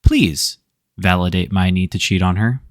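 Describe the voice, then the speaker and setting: A man's voice: sits low, normal speed, dry recording, clean studio sound. A man hosting a storytelling show, reading online stories aloud with wry, sarcastic asides. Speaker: storyteller host.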